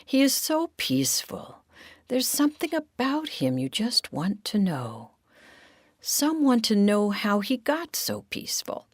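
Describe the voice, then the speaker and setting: A woman's voice: medium pitch, huge pitch swings, a little slow, breathy edge, no room sound, clean studio sound, pitched above the performer's own voice, exaggerated voice. A woman voicing eager, kindly lady ladybugs in a storybook, chattily explaining things.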